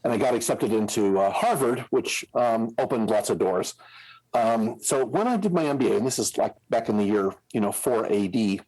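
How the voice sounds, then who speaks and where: A man's voice: on the low side, expressive, normal speed, a little mellow, poor audio. An American man in his sixties with a Midwestern accent, talking casually as an interview guest, rambling with many fillers and restarts. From United States. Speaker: guest, scenario planner.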